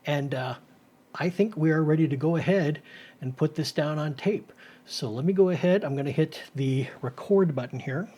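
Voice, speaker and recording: A middle-aged man with a voice pitched a little above average. He presents in a casual, explanatory hobby-video style. His delivery is informal and a bit rambling as he walks through each step. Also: normal speed, mellow, husky. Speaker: host of a retro tech video series. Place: small home studio, indoors.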